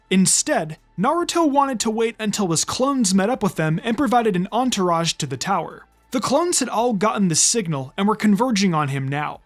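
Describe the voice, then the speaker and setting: A narrator giving an expressive reading of a fan fiction story. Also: mid-range voice. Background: background music.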